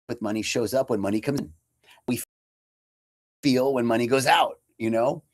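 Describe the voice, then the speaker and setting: A man giving a casual, conversational inspirational talk as a spiritual teacher on a computer webcam livestream. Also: medium pitch. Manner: casual and conversational, with lots of fillers.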